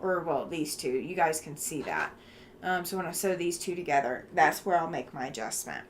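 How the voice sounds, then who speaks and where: A woman's voice: low-pitched, lively intonation, moderate pace, a little hiss or hum. A tired woman with a Southern US accent chatting casually while she works on a quilt at home, thinking aloud with fillers and frequent laughs. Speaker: quilting livestream host.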